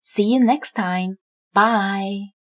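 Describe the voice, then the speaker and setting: A woman's voice: medium pitch, very slow. A woman storyteller reading a scripted children's story and its lesson. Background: soft music.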